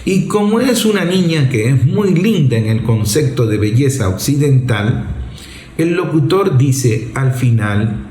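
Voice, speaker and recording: A man's voice: medium pitch, very animated, a little slow, mellow. Man explaining his opinions in an echoing room. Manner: didactic, emphatic, rhetorical questions. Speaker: commentator. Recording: roomy, echoing voice recording.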